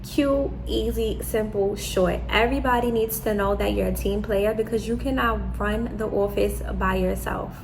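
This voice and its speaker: A woman's voice: mid-range voice, fairly even intonation, moderate pace, gravelly, few pauses, background noise. A woman from New York City with a New York accent, giving frank, chatty job interview advice to her viewers. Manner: direct, chatty, repeats "okay?" to drive points home. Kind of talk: casual advice talk to camera.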